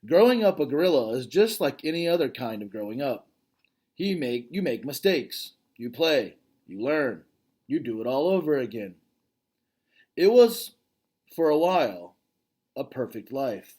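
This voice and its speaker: Animated dad reading a storybook aloud. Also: male voice, mid-range voice, a little slow, breathy edge. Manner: expressive reading, breaks off to comment directly to listeners. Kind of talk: reading a children's novel aloud with chatty asides.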